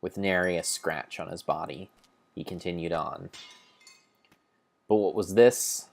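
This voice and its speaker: Deep-voiced man, grandiose dramatic narrator. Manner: grandiose, theatrical, with pauses and self-corrections. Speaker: narrator of a video game playthrough. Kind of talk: mock-epic dramatic storytelling, improvised.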